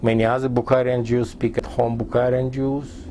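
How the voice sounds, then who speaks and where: A man in his sixties from Tajikistan, a Persian speaker, recounting his life in halting, fragmented English in an oral history interview. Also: mid-range voice, level tone, dark tone, slight background noise. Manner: halting non-native English in short fragments.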